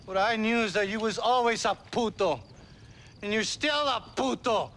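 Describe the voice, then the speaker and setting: An actor playing a defiant captured bandit in a Western game, with a Mexican accent and an emotional, spitting delivery. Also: male voice, sits high, moderate pace, fairly bright tone, a little hiss or hum. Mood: contemptuous, angry.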